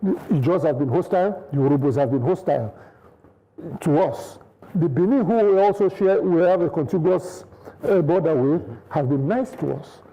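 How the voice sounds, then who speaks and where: A Nigerian man arguing on a studio news panel, his voice fairly high but very dark and mellow. He talks insistently, circling back through restarts and half-finished clauses as he builds his case. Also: expressive, moderate pace, low-quality recording. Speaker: studio guest. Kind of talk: panel debate.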